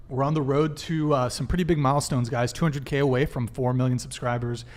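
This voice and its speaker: Guy hosting a live podcast, average pitch, casual, talking to the viewers. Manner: casual, addresses viewers as guys. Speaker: podcast host. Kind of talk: live talk show hosting.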